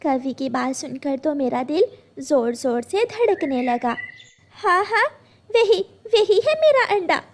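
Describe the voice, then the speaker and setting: A woman voicing a gentle, earnest mother sparrow in a children's cartoon. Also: sits high, big pitch swings, slow pace, slight background noise, exaggerated voice. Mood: eager, anxious. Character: gentle mother sparrow, caring, earnest, storytelling to her daughter.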